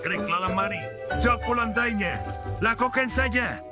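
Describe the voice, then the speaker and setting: A man voicing a gruff, hungry wolf and a demanding old grandpa in a dubbed cartoon, growly and bossy. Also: high voice, moderate pace, over loud music, close to an everyday voice.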